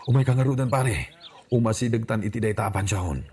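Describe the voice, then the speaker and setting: A man's voice: lowish voice, brisk, darkish tone, a little hiss or hum, close to an everyday voice. A man playing a sly, freeloading village sidekick in an Ilocano radio comedy drama. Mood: sly, eager.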